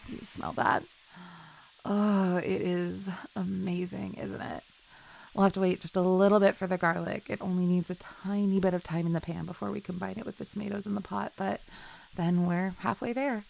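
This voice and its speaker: A woman's voice: medium pitch, vocal fry, darkish tone, a little hiss or hum, rough recording. A woman playing a chill, teasing young best friend in a casual, intimate roleplay audio, chatting playfully with little hesitations. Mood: relaxed, affectionate. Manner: laid-back, playful, giggly, hesitant little fillers.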